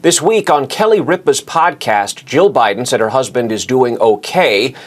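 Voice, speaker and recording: A man reads a news report in a measured, steady broadcast manner. His voice sits at an ordinary middle pitch. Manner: measured, even delivery. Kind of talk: scripted news narration.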